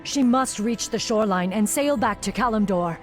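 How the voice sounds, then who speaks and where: Woman doing a vengeful elf warden boss lady, solemn n commanding, game cutscene, loud music behind her. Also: mid-range voice, moderate pace, natural voice. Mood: urgent, commanding. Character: vengeful commanding elven warden woman, stern warrior leader. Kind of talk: dramatic video game cutscene acting.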